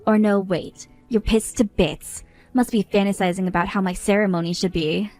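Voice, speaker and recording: A woman's voice: mid-range voice, fairly even intonation, normal speed, darkish tone, faint music behind, natural voice. A woman voicing an exasperated young bride who scolds others in an indignant tone.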